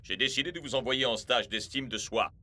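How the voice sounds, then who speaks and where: A man playing a measured, authoritative middle-aged football coach in a French cartoon dub. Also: medium pitch, sharp tone, a little hiss or hum. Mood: calm, decisive. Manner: measured, firm, instructive. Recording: music behind the voice.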